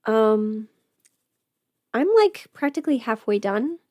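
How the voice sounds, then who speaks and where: A woman's voice: fairly high pitch, animated, slow, dark tone, dry recording, studio quality. A woman chatting informally on a livestream, rambling and thinking aloud as she goes. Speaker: livestream host, audio creator. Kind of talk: casual livestream chat.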